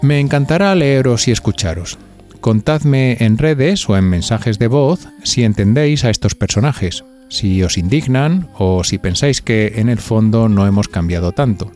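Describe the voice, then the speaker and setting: A man's voice: mid-range voice, lively intonation, moderate pace, a little mellow, soft background music. A man with an accent from Spain hosting a podcast, reading scripted narration and putting conversational questions to listeners. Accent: Spanish from Spain. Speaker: podcast host.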